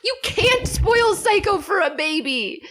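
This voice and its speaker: A woman's voice: very high-pitched, sing-song, measured pace, fairly bright tone. A woman guesting on a podcast, chatting casually with an enthusiastic, wry manner.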